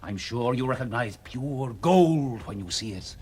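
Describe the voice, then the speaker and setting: An actor playing a grandiose, mockingly polite tomb-robbing villain in a radio drama, theatrical and condescending. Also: male voice, medium pitch, animated, unhurried, darkish tone, slightly rough.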